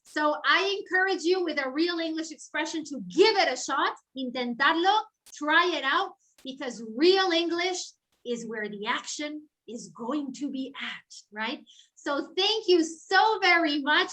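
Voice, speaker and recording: Bright, high-voiced Spanish woman. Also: poor audio. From Spain. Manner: upbeat and gracious, frequent tag questions. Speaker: guest speaker, English professor. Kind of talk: online lecture wrap-up. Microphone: video call.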